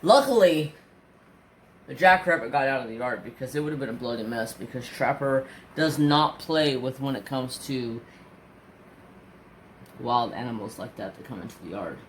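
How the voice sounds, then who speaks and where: A man's voice: mid-range voice, expressive, normal speed, a little hiss or hum, low-quality recording. A man in his forties chatting casually in a rambling, storytelling way while crafting. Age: 40s. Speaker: crafting vlogger.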